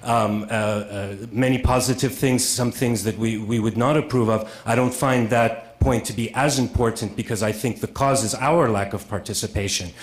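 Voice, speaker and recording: A man giving a conference lecture, speaking haltingly and repeating words with many fillers, in a museum lecture room. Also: medium pitch, steady tone, reverberant.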